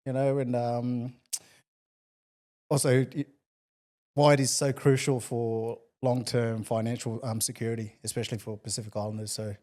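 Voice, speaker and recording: Mellow, flat-voiced co-host. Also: male voice, medium pitch, normal speed. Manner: laid-back, conversational. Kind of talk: casual show hosting.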